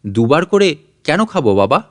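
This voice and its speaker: A man's voice: fairly high pitch, big pitch swings, moderate pace, dry recording. A man voicing an innocent little boy in an audiobook story, with a childlike, inquisitive manner. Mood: innocent, curious. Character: innocent curious little boy questioning his parents. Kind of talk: audiobook character acting.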